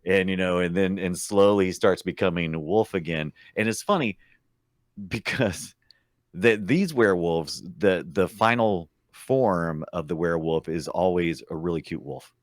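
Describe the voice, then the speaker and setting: A man's voice: low voice, a little slow, no room sound, clean studio sound. A man chatting casually about films as a podcast guest, telling it expressively with little acted asides. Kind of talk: casual movie discussion.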